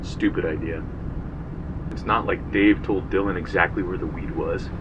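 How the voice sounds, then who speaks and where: An actor playing a young slacker who narrates a grim trespassing tale in a casual, profane, matter-of-fact way. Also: male voice, deep voice, fairly even intonation, normal speed, highs cut off, background noise, lightly acted. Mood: dismissive, wry. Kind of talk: first-person audio drama narration. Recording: music bed behind the voice.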